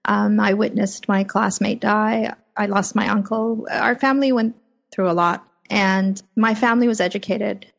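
A woman from Afghanistan, a native Persian speaker, speaking as a journalist guest in a measured, reflective way from her home office. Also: medium pitch, fairly even intonation, vocal fry. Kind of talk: interview over remote link. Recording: muffled lo-fi remote audio.

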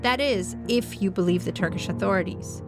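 A woman reporter from Afghanistan, a native Farsi speaker, narrating a documentary in a measured, serious way. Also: mid-range voice. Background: music.

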